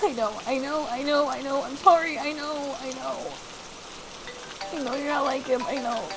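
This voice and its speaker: A woman performs a frightened, apologetic young woman in an intimate roleplay drama. Her voice sits high, and she pleads in halting, repeated phrases. Steady rain is clearly heard around her. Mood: tearful, apologetic. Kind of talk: intimate audio roleplay acting. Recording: steady rain noise over the voice.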